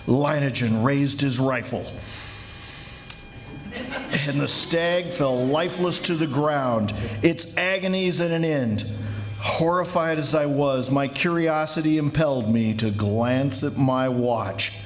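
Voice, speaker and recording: A man playing a grave, horrified commissioner, narrating dramatically and deliberately in 1940s radio mystery style. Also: mid-range voice, close to an everyday voice. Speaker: radio theatre actor. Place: live radio theatre performance on a college campus. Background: dramatic music.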